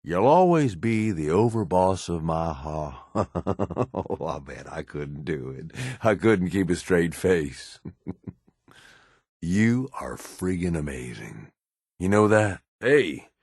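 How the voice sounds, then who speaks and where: An actor playing a roguish, cynical but loyal raider in a video game, with a folksy drawl. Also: male voice, deep voice, no room sound, clean studio sound, heavily acted. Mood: relaxed, teasing. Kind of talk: video game companion dialogue.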